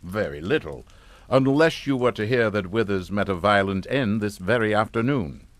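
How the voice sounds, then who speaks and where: An actor playing a politely menacing upper-class English father in a radio suspense drama, speaking in a clipped, formal, deliberate way. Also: on the low side, animated, no echo, lightly acted. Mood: calm menace. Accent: British.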